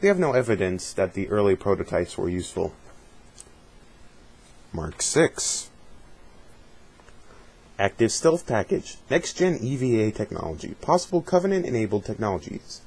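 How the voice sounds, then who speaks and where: A man with a fairly low, husky voice reads aloud for an audience of fans. His delivery moves between dramatic recitation and casual, conversational commentary. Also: big pitch swings, moderate pace, pauses often, darkish tone. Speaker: reader of a fan dramatic reading. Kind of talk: dramatic reading with casual asides.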